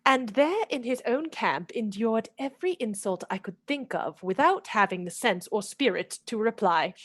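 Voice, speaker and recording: A woman's voice: a little high, animated, moderate pace, fairly bright tone, no room sound. A woman playing a proud, witty noblewoman who mocks an outlaw with arch, theatrical scorn in a radio play. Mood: scornful. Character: proud witty noblewoman Marian, mocking and spirited.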